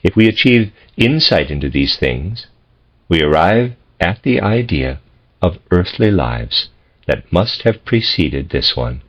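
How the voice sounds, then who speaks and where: A man reading a philosophical text as scripted narration, in measured, deliberate phrases with pauses. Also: low-pitched, sing-song. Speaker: audiobook reader. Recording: dull, lo-fi audio.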